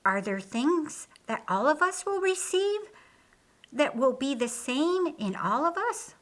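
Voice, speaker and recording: Woman reader as pondering follower, bright, lifted pitch. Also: very animated, takes it slowly, full character voice. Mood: curious. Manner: pondering, searching. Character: Mary, thoughtful, wondering younger woman follower. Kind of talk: audiobook character dialogue.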